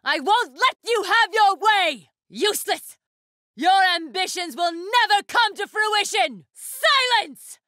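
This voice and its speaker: A woman's voice: very high-pitched, lively intonation, slow, bright, forceful tone, no echo, studio quality, heavily acted. An actress voicing a proud, ruthless young female warrior for an anime fighting game, with a haughty, commanding, theatrical delivery. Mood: angry, scornful.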